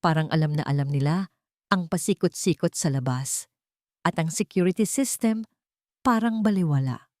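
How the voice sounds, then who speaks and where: A woman voicing a wealthy male employer who speaks in a casual, measured, probing way and is quietly suspicious. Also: fairly low pitch, dry recording, studio quality, natural voice.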